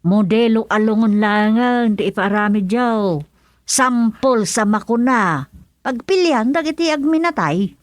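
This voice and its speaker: A woman's voice: a little high, a little slow, no room sound, natural voice. A woman with an Ilocano accent playing a chatty, practical village schemer in a comedy radio drama. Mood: agreeable.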